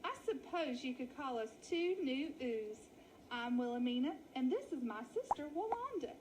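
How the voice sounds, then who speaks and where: A woman's voice: high voice, expressive, moderate pace, darkish tone, slight background noise, rough recording. A woman voicing a sly male wolf who poses as a sweet, coy lady sheep in a children's storybook. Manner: coy, sugary, simpering. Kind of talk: children's storybook read-aloud.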